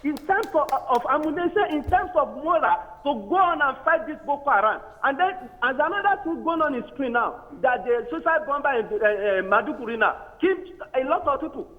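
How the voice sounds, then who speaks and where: A Nigerian man calling in to a talk show, speaking haltingly and pleadingly with long fillers. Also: very high voice, expressive. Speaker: phone-in caller. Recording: tinny phone line with long reverb.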